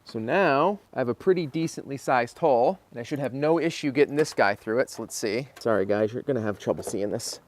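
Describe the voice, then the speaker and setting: A man's voice: medium pitch, brisk, few pauses. An American man hosting a DIY car video, narrating a how-to casually and informally, thinking aloud as he works. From Michigan, United States.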